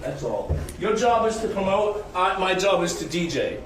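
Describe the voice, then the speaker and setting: A man's voice: high-pitched, very animated, normal speed. A man in a heated argument, stubborn, aggrieved and curt, swearing as he refuses. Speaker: DJ and performer in a touring show. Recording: echoey room with crowd noise. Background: crowd noise.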